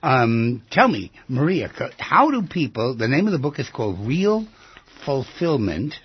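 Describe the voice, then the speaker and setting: Husky-voiced male radio host. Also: medium pitch, sing-song, a little slow, no echo. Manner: probing, leading the guest with questions. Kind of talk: radio interview. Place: radio studio. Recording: dull lo-fi radio studio audio.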